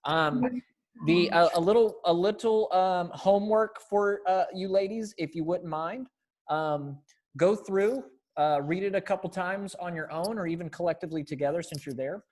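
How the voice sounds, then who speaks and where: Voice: mid-range voice, normal speed, darkish tone. An American readers theater director coaching casually over a video call, encouraging and hesitant in phrasing. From Springfield, Missouri, United States.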